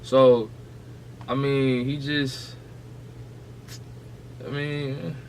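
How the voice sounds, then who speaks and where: A man's voice: mid-range voice, level tone, very slow, a little hiss or hum. A man co-hosting a podcast, chatting and debating casually in blunt, slangy speech. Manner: slangy, blunt, pushing back.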